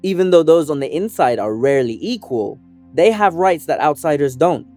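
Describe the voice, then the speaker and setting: A young adult man from Sudan, an organizer and activist, reading a scripted first-person essay in an earnest, measured, persuasive way. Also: fairly high pitch, warm tone.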